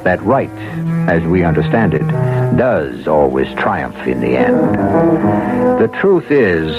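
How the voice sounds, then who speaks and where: A man hosting a radio mystery drama, narrating in a solemn, portentous, deliberate manner. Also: low voice, sing-song, muffled recording, full character voice. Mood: grave, ominous. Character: solemn, brooding host of a mystery tale. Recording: old radio broadcast with music behind.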